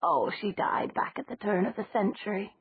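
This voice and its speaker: Woman doing a gentle batty old witch. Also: medium pitch, vocal fry, a little hiss or hum, voice pushed up, close to an everyday voice. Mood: gentle, rambling. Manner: slow, gentle and rambling. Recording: dry, tinny phone-like sound.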